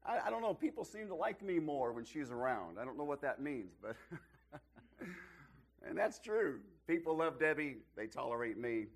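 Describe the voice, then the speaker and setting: A middle-aged man, slightly hoarse from losing his voice, giving a sermon as a visiting preacher in a church, opening with self-deprecating jokes before building to emphatic rises. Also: fairly high pitch, sing-song, moderate pace. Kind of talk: sermon with folksy humor. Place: church auditorium.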